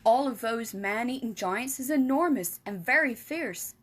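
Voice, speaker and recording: A woman's voice: lively intonation, unhurried. A woman reading aloud and voicing a big, kindly giant who mangles his grammar and invents words. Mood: emphatic. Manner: jumbled grammar, made-up words, booming. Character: big friendly giant, kindly, muddled speech.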